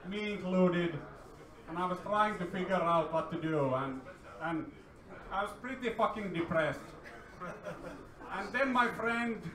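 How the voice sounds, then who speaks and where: High-voiced, hesitant Finnish man giving a talk. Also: fairly bright tone. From Finland. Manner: hesitant, self-deprecating, repeats words. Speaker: guest speaker, indie game developer. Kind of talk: informal personal-story talk. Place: meetup venue with live audience. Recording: echoey room, distant sound, crowd noise.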